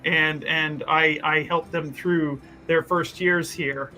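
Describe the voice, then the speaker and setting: A man playing his tabletop character with a high, bright voice. He boasts at length and stumbles through plenty of ums and uhs. Faint music runs underneath. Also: steady tone, rough recording. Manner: halting, filler-heavy, boastful in character. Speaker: tabletop game player. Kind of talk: in-character roleplay.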